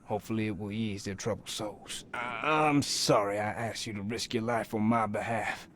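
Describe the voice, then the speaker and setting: An actor playing a grieving, humble local man in a video game, speaking quietly and pleadingly. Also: fairly low pitch, fairly even intonation, moderate pace, slight background noise, close to an everyday voice.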